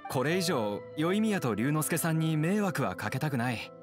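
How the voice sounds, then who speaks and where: A man's voice: on the high side, big pitch swings, normal speed. An actor playing a troubled, polite young man on the run in a game, earnest, subdued and apologetic. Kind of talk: video game voice acting. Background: background music.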